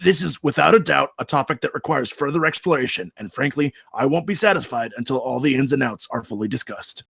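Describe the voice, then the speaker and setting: A man's voice: medium pitch, a little fast, gravelly, hardly any pauses, a bit bright, slightly rough, no echo, clean studio sound. A man voicing an emotional, anxious Bigfoot in a melodramatic way at a comedy script reading. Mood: earnest.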